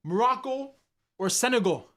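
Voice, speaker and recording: A man's voice: extremely high pitch, lively intonation, normal speed, noisy background. A young man from Canada hosting a live stream, chatting casually and energetically with his viewers in slangy, repetitive talk. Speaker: livestream host.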